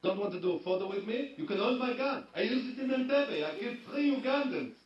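Guy doing a loud pushy israeli dude, sarcastic and defensive, high voice, echoey lo-fi clip from far off. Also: lively intonation, quick pace, soft background music. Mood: brash. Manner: brash, sarcastic, boastful. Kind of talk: comedy sketch.

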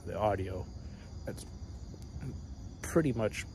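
Man in his thirties, low voice, halting vlog ramble, night crickets loud in the background. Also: lively intonation, gravelly. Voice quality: fairly deep voice. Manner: hesitant, full of fillers and trailing thoughts. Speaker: vlogger. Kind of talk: rambling casual monologue. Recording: loud cricket noise behind voice. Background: crickets and insects at night.

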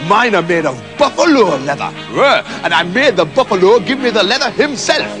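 A man's voice: sits high, big pitch swings, normal speed, long reverb tail, music in the background. A man voicing a boastful, rude, cheerfully violent male hero with a cocky, bossy way of talking, in a storybook reading.

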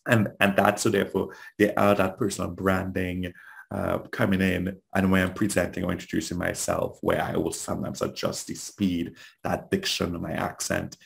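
A man's voice: deep voice, monotone, moderate pace, vocal fry. A man from Trinidad with a Trinidadian accent, giving a conversational webinar talk as a trainer and asking rhetorical questions.

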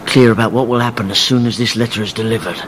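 A man's voice: medium pitch, fast, a bit bright, a little hiss or hum, natural voice. A man playing a domineering, calculating schemer in a radio drama, with a controlling, impatient manner. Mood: commanding, impatient. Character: scheming, domineering male conspirator, coolly calculating.

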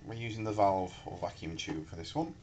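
A man giving a casual, halting demonstration at a workbench, recorded on a handheld camera. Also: low voice, darkish tone. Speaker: hobbyist electronics builder presenting. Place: indoors at a cluttered workbench. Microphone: handheld camera microphone. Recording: noisy handheld camera in room.

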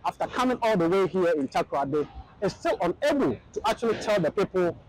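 A man's voice: high-pitched, lively intonation, quick pace, a little mellow, poor audio. A man with a Ghanaian accent reporting to camera outdoors as a correspondent, on a handheld mic. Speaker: news correspondent. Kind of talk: piece to camera. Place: outdoor construction site.

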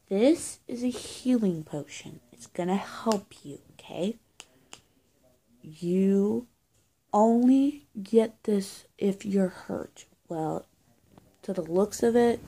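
Slow, husky, soft-edged woman in roleplay. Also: lowish voice. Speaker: roleplay video creator. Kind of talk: improvised roleplay to camera, playing a witch caring for someone hurt. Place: small indoor room with bare walls. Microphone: phone or webcam at arm's length.

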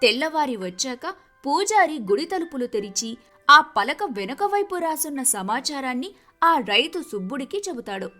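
A woman narrating a scripted moral folk tale in an expressive storytelling manner. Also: high voice, normal speed, faint music behind.

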